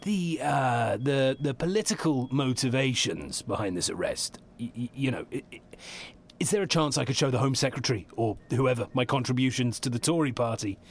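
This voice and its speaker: An actor playing a harassed, evasive chief executive of a football club, sarcastic and flustered, in a naturalistic comedy drama. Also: male voice, mid-range voice, lively intonation, normal speed. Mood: nervous pleading.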